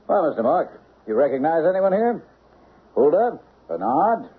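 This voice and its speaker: A man playing a brisk, taunting official in charge in a radio mystery. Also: on the high side, sing-song, breathy edge. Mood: triumphant. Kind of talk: old-time radio mystery acting. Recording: muffled vintage radio broadcast, faint hiss.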